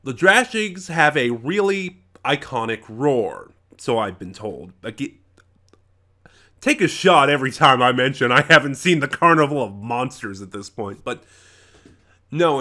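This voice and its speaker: A man reviewing in a casual, unscripted way, opinionated and rambling, halting and correcting himself as he goes. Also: mid-range voice, sing-song, sharp tone. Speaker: reviewer, channel host.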